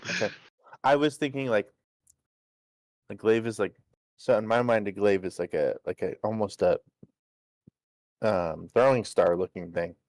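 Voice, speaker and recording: A man with a fairly low voice plays a tabletop game. He chats casually and haltingly, doubling back on words as he thinks aloud, in a dry recording. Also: a little mellow, dry recording, low-quality recording. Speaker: player in a tabletop role-playing game.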